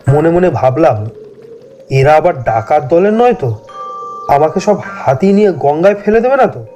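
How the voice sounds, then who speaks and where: A man's voice: a little high, lively intonation, normal speed, dark tone, lo-fi sound, soft background music. A man telling a scripted first-person horror story as a dramatic narrator.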